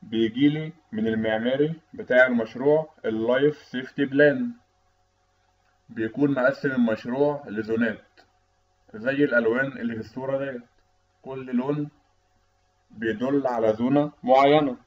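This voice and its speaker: A man's voice: mid-range voice, fairly even intonation, normal speed. A man with an Egyptian Arabic accent giving an explanatory technical lecture, teaching didactically as an instructor. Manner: didactic, asks and answers own questions. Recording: dull, lo-fi voice-over recording.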